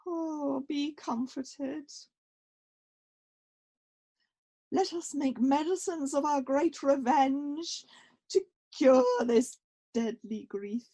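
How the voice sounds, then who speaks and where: A woman's voice: high-pitched, slow pace, dark tone. A woman playing an earnest, resolute young prince in an amateur Shakespeare radio play, with an exhorting delivery. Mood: consoling. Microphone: recorded over video call.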